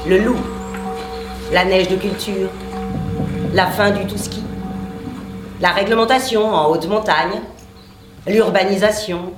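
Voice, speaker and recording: A woman's voice: mid-range voice, steady tone, lightly acted. A businesslike official, played by a woman, rattles off duties in a stage play. The room rings around her voice, with music under it. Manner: brisk, listing duties. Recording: roomy stage recording with music.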